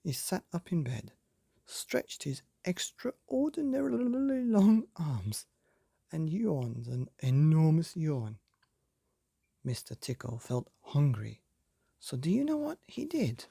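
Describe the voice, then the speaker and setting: A man reading a children's bedtime story expressively, with deliberate dramatic pauses, as if speaking directly to young listeners. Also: a little high, sing-song, vocal fry, warm tone, slightly rough, no echo, clean studio sound. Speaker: storyteller, podcast reader.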